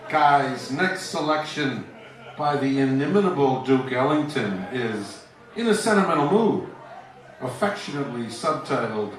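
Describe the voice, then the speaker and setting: A man's voice: fairly high pitch, fairly bright tone. A man playing a grandiose 1940s radio announcer in a parody of old-time broadcasts. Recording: echoey distant sound, music underneath.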